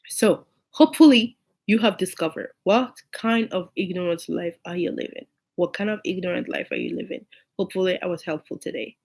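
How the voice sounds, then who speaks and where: A woman with a cold and a hoarse, unclear voice, giving an informal sermon to her online listeners and repeating key phrases for emphasis. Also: on the low side. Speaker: online preacher.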